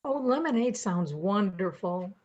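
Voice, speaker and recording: A woman's voice: medium pitch, lively intonation, unhurried, a little mellow, close to an everyday voice. An older woman playing a polite but firm grandmother in an amateur radio play. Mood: gracious. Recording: slightly dull, lo-fi sound.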